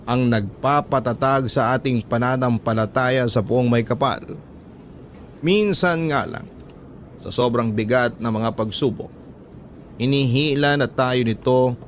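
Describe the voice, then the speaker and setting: A man with a Filipino accent hosting a radio-drama letter show, speaking in an earnest, measured, sentimental way. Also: medium pitch, a little hiss or hum. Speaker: host reading listeners' letters. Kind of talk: radio drama narration and advice.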